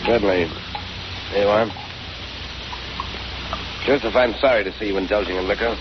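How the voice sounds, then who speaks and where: A man's voice: fairly low pitch, steady tone, moderate pace, natural voice. An actor playing a suave, murderous millionaire villain in a 1930s radio drama, coldly polite and pompous in his threats. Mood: cold menace. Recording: noisy, muffled 1930s radio recording.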